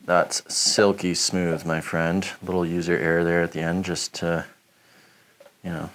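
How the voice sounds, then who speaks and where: A man giving a relaxed, chatty review, rambling with lots of fillers as he chops. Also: sits low, unhurried. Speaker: knife shop reviewer. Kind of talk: casual product review while chopping.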